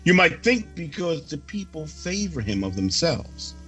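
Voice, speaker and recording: Slow, solemn male preacher with swooping pitch. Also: big pitch swings, a bit bright, soft background music. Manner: emphatic, deliberate oratory with formal archaic phrasing. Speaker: religious reader delivering a prophecy report. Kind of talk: solemn sermon-like reading of a prophecy.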